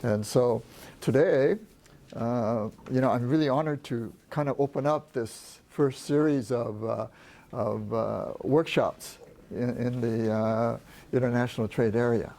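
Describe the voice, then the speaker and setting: A soft-spoken man presenting at a business workshop, talking in a halting way with many restarts. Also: medium pitch, gravelly. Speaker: port trade representative, presenter.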